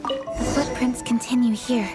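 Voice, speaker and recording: A woman's voice: a little high, fairly even intonation, measured pace, over loud music, natural voice. A woman playing a dutiful young investigator, composed, polite and earnest, in an English game dub. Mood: intent.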